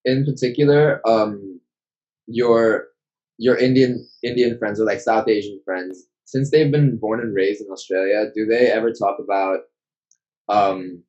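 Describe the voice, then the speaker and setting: A man's voice: lowish voice, measured pace, darkish tone. A man hosting an interview, asking long rambling questions and agreeing briefly. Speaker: interview host. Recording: dull lo-fi sound.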